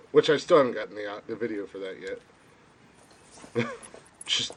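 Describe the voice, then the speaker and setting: A man hosting a casual live-stream podcast who talks loudly and laughs often. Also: lowish voice, slow, a little hiss or hum. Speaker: podcast host.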